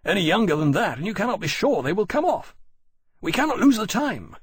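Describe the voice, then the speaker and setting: A man's voice: high-pitched, big pitch swings, fairly quick, slightly rough, no room sound, clean studio sound, voice pushed up, exaggerated voice. A man voicing a hot-headed, urgent British military officer in an audiobook, arguing emphatically in period-formal speech. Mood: insistent.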